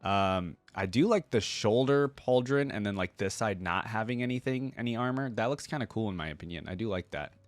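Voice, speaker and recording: A man's voice: lowish voice, animated, a little fast, few pauses, no room sound. A man giving casual, off-the-cuff reaction commentary, informal and opinionated as he thinks aloud. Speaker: YouTube reaction host.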